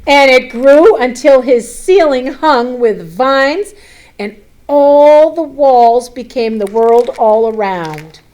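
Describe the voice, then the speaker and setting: A woman reading a picture book aloud to children, expressive, with chatty asides, questions and simple explanations. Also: high-pitched, slow pace, poor audio.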